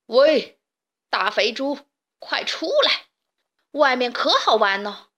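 A woman voicing a sly, bullying big bad wolf who calls out loudly to coax and threaten. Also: fairly high pitch, takes it slowly, heavily acted. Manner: loud coaxing then threatening.

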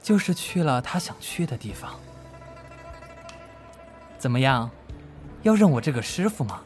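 A man playing a gentle, grieving swordsman master in an amateur radio drama, speaking in a kindly, measured, coaxing way. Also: mid-range voice, sing-song, faint music behind. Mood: solemn.

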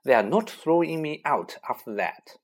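A reader voicing a clever, anxious schoolgirl who talks briskly. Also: mid-range voice, expressive, slightly rough, pitched above the performer's own voice.

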